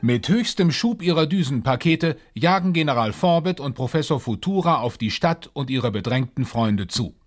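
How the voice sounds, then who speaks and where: A man narrating a scripted science-fiction adventure in a measured, formal storytelling manner. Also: on the high side, lively intonation, faint music behind, close to an everyday voice.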